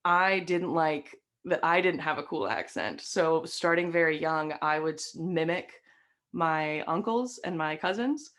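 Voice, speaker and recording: Young woman, slight Southern accent, low register, rambling and conversational, webcam in a home room. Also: animated, unhurried, a bit bright. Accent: light Southern American. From Southern United States. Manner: chatty, storytelling, many fillers. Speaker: guest, stream host and comic. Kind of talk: casual interview chat. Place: decorated home room with framed posters.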